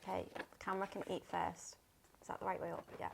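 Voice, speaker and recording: A woman's voice: fairly low pitch, fast, creaky, husky. Woman, thirties, podcast co-host, talkative, laughs a lot, casual chat. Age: 30s. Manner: chatty, animated storyteller, laughs often.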